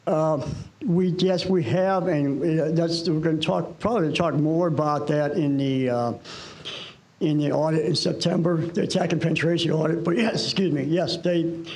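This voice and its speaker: A man presenting an audit at a meeting, answering questions while losing his voice and clearing his throat. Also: a little high, fairly even intonation, moderate pace, darkish tone, poor audio.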